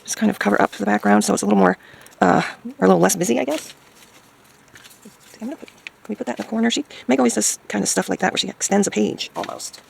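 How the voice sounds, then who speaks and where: A woman chatting casually as she crafts, thinking aloud hesitantly in a hoarse, gravelly voice. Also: medium pitch, fast talker, a little hiss or hum. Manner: hesitant, musing to self. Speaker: craft video maker.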